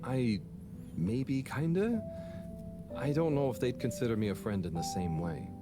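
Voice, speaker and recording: A man's voice: medium pitch, animated, natural voice. A man voicing a shy, kind young man in a video game, speaking hesitantly. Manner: hesitant, halting. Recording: game audio with loud music.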